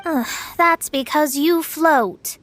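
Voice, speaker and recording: An actress playing a proud young astrologer in a video game, speaking in a precise, formal and superior manner. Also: female voice, high voice, slow pace, fairly bright tone, no echo. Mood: weary, annoyed. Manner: haughty, precise, formal wording. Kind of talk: scripted game dialogue.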